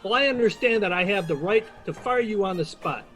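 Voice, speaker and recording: A man's voice: sits high, lively intonation, brisk, fairly bright tone, soft background music. A man playing an exasperated, officious department store manager who scolds staff in an audio drama. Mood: stern, accusing.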